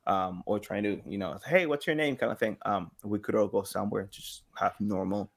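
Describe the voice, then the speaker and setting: A man in his thirties chatting casually as a podcast guest, thinking aloud haltingly and voicing imagined quotes. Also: lowish voice, creaky, husky. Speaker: podcast guest, game sound designer. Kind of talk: casual interview chat. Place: small home room with slanted ceiling, indoors.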